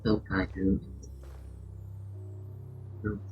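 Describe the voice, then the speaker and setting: A man's voice: deep voice, monotone, drawn-out words. A man live-streaming a video game, reacting casually in short exclamations. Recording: dull, muffled audio with game music. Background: game music.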